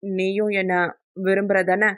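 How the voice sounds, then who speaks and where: A woman's voice: mid-range voice, flat delivery, measured pace, dry recording, clean studio sound, close to an everyday voice. A woman voicing a devoted young man in love, speaking tenderly and earnestly in an audio novel. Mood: tender, passionate.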